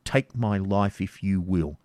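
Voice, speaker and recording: A man doing a desperate old dad, way higher than normal, begging hard, dry recording. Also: moderate pace, a little mellow, dry recording. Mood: defiant. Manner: impassioned, imploring. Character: desperate old father, frail and pleading.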